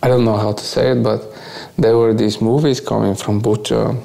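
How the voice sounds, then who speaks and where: Low, creaky Bulgarian-accented man, halting. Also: flat delivery, dark tone, poor audio. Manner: halting, searching for words in non-native English. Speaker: interview guest, volunteer activist. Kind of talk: conversational live talk, recounting experiences.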